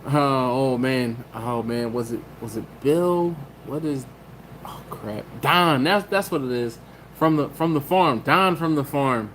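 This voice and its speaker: A man's voice: medium pitch, a little slow, fairly bright tone, a little hiss or hum. Chatty man hosting a livestream. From Maryland, US. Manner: rambling, laughs often, self-interrupting. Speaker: livestream host reading chat. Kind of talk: casual live chat.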